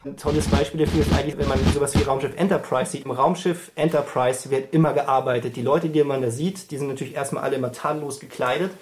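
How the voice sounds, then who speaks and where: A man's voice: medium pitch, steady tone, fast, hardly any pauses, soft background music. A man giving a spontaneous, analytical explanation as an interviewed commentator.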